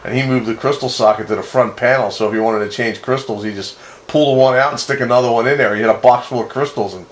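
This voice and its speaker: A man's voice: medium pitch, fast talker. A man chatting casually and approvingly with fellow radio hobbyists as the host of a CB roundup, in an easygoing manner. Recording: roomy echo, faint background noise.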